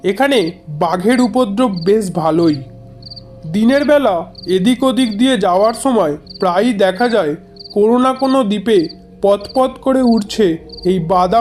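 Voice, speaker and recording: A man playing a grandfatherly retired forest officer who tells his ghost adventure in a measured, conversational way for a horror cartoon. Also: high-pitched, a little mellow. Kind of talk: dramatised horror storytelling. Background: background music.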